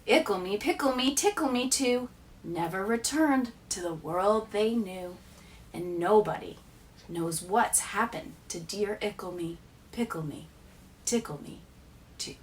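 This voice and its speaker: A woman teacher reads poetry aloud for young listeners. Her delivery is expressive and unhurried, with a medium pitch. She sounds set back somewhat from the microphone. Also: far from the mic, slight background noise. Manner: deliberate, expressive storytelling delivery. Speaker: teacher reading poems aloud to schoolchildren. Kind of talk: expressive read-aloud of children's poems.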